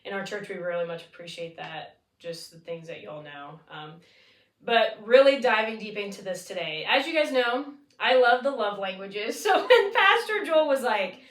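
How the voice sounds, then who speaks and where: A woman's voice: medium pitch, expressive, moderate pace. A young woman hosting a podcast in an upbeat, chatty way, laughing easily. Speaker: podcast host. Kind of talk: casual podcast chat. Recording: roomy echo, mic at a distance.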